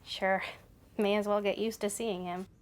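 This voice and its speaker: A woman's voice: mid-range voice, level tone, moderate pace, close to an everyday voice. An actress playing a skeptical, uneasy young woman in an audio drama, in a natural, challenging way. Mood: curious, wary. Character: wary, skeptical young woman, increasingly frightened.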